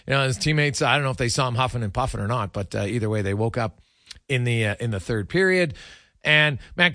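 A man with a fairly high voice, recorded dry and close. He hosts sports radio and chats casually about hockey, musing in a halting, conversational way. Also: bright, forceful tone, no room sound. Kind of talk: casual hockey analysis chat.

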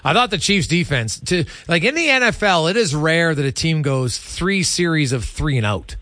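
A male sports talk host with a slightly high voice, recorded close and dry. He talks casually and conversationally, working through his points in a halting way. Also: expressive, sharp tone, dry recording.

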